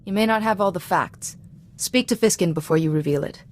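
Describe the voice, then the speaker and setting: A woman playing a calm, compassionate Jedi diplomat in a video game, with a measured, formal, reasoning manner. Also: fairly low pitch, natural voice. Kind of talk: video game dramatic voice acting.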